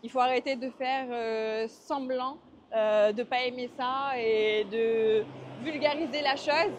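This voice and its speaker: Young Marseille woman, interviewee, hesitant, fairly high voice, outdoors. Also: steady tone, takes it slowly, background noise. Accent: Marseille French. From France. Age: 20s. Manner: hesitant, many fillers and restarts. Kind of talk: casual interview while walking.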